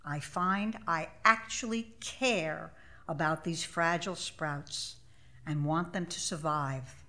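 A woman in her seventies reading her own essay aloud at a live event, measured and expressive with a wry delivery. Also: deep voice. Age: 70s. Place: small theater stage, live event. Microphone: stage microphone.

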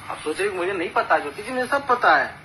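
A man's voice: high-pitched, lively intonation, fast talker, pushed and bright. A man in a heated personal phone argument, speaking as an accusing husband who repeats himself. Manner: accusatory, repetitive. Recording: noisy recorded phone call, band-limited.

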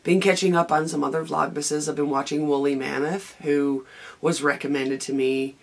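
Hoarse gravelly woman, chatty vlogger. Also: deep voice, fairly even intonation, moderate pace. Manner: rambling, chatty, many ums and restarts. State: hoarse, raspy voice. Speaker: vlogger and knitting podcaster. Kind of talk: casual daily vlog chat. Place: home craft room with shelves, indoors.

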